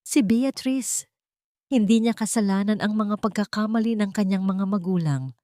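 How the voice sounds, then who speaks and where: A Filipino woman voicing a gentle, resolute, long-suffering grandmother in an audio drama, measured and solemn. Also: mid-range voice, no echo, clean studio sound, pitched above the performer's own voice, close to an everyday voice. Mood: gentle pleading.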